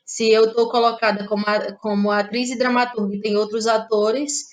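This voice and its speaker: A woman's voice: fairly high pitch, level tone, moderate pace. A woman with a northeastern Brazilian accent from Ceará, speaking reflectively as an interview guest and often checking for agreement with small tag questions. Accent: Cearense northeastern Brazilian. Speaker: interview guest, playwright and actress. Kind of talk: conversational interview.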